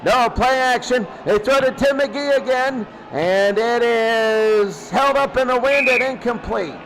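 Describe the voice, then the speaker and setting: A man's voice: extremely high pitch, measured pace, fairly bright tone, some chatter behind, slight background noise, rough recording. A man doing football play-by-play as the announcer, often repeating his phrases. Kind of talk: amateur football play-by-play commentary.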